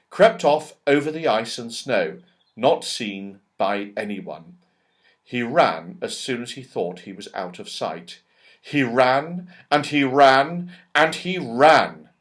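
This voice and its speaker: A man narrating a children's story as an audiobook, expressively, with dramatic emphasis and storyteller's phrasing. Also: medium pitch.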